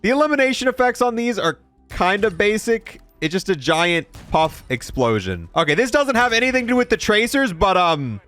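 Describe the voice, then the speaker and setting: A man's voice: high-pitched, big pitch swings, moderate pace, a bit bright, faint music behind. A young man doing casual gameplay review commentary, informal and thinking aloud. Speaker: gaming video host.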